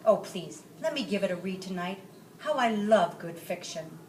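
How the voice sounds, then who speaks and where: A woman's voice: medium pitch, animated, moderate pace, a little mellow, natural voice. A woman voicing a genteel, cultured male dragon in a children's storybook, chatty and flowery in manner. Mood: gracious. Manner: chatty, flowery, self-satisfied. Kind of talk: children's storybook read-aloud. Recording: roomy, distant mic, faint noise.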